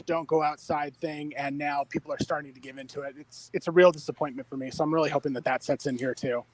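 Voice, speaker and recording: A man's voice: fairly high pitch, no echo, poor audio. A man from Idaho in a casual podcast chat, indignant and hesitant, full of fillers. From Idaho, USA.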